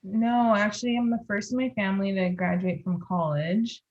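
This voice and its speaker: A woman's voice: medium pitch, fairly even intonation, normal speed. A woman from Los Angeles with a Californian accent, answering as a podcast guest in casual conversation, on slightly dull lo-fi audio. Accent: Californian American. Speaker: podcast guest, pediatric dentist.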